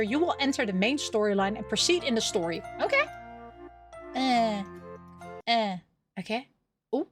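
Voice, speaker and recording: A woman hosting a gaming video, reading game text aloud and reacting in a playful, expressive way as she chatters to herself. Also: on the high side.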